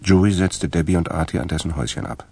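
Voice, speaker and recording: A man narrating a radio crime drama from a script in a gravelly voice. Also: sits low, quick pace. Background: music.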